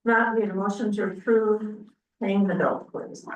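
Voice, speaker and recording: A woman's voice: medium pitch, fairly even intonation, unhurried, dull audio, slight background noise. A woman chairing a council meeting in formal procedural style, recorded in a meeting room. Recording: echoey room, distant from the mic.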